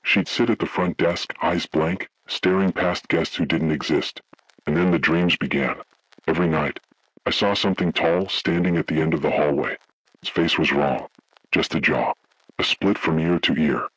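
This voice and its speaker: A man voicing a haunted, grave survivor, narrating a scripted first-person horror story in slow, deliberate, ominous phrases with a gravelly, husky voice. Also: very deep voice, a bit bright, no echo, exaggerated voice, rough recording. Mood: grave dread. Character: haunted man recounting childhood motel horror, grave, dread-filled.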